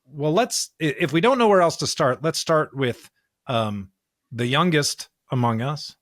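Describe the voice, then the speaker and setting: A man's voice: a little high, animated, unhurried, dry recording, clean studio sound. An American man co-hosting a religious discussion podcast, speaking earnestly and emphatically and repeating phrases to build his points. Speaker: podcast co-host, preacher.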